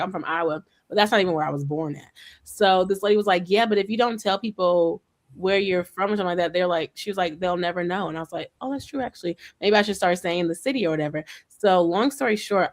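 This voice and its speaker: Chatty woman hosting a group chat. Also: fairly low pitch. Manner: chatty storyteller, acts out dialogue. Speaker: host.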